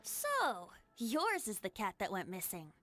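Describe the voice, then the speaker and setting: A woman's voice: mid-range voice, huge pitch swings, a little slow, a bit bright, faint music behind. A woman voicing a whimsical young male traveling bard with a playful, theatrical, flowery way of speaking in an anime game. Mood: playfully theatrical. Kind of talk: anime video game voice acting.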